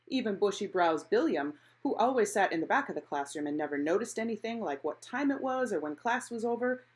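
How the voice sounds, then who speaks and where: Animated woman reading a children's storybook. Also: medium pitch. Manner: animated, acting out characters' exclamations. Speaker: picture book reader. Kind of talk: expressive children's storybook read-aloud.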